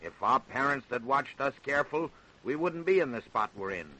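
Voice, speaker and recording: A stubborn, embittered working-class American father. The voice is medium in pitch and hard-edged, in a vintage radio drama recording. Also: animated, moderate pace, sharp tone, rough recording. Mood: bitter. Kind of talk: 1930s radio drama acting.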